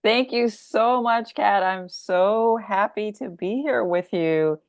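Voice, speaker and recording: A woman author answering interview questions conversationally, thoughtful and expressive, leaning on key words. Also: mid-range voice, takes it slowly, no echo, clean studio sound. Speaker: author, podcast guest.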